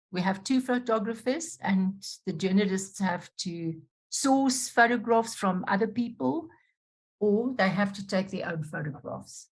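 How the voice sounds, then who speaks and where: A woman speaking as a journalist on a panel over a video call, talking in a halting way with many restarts. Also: mid-range voice. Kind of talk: unscripted panel talk.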